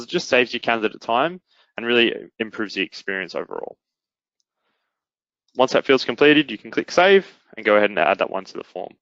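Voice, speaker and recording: A man presenting a software product in a webinar, explaining it step by step in an instructional way. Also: mid-range voice, no room sound, highs cut off. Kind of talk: explanatory webinar demo narration.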